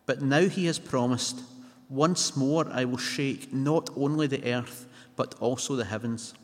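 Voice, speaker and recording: A man reads scripture aloud at a measured, steady pace. His voice has an average male pitch. Long reverb from the hall trails each line. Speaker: scripture reader. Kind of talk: scripture reading.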